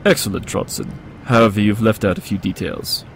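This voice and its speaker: An actor playing a brilliant, condescending pony detective in an audio drama, curt and dryly sarcastic. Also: male voice, fairly low pitch, fairly quick, background noise, close to an everyday voice. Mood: composed, superior. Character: brilliant, dry, condescending male pony detective with cat allergy.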